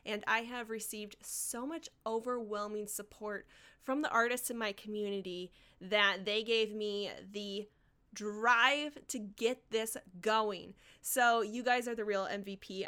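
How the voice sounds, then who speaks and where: A woman's voice: medium pitch, fairly even intonation, a little slow, bright, forceful tone, clear, resonant tone. An American woman hosting a solo podcast, speaking straight to her listeners with enthusiasm and encouragement as she tells personal stories. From Washington state, United States. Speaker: podcast host and marketing coach.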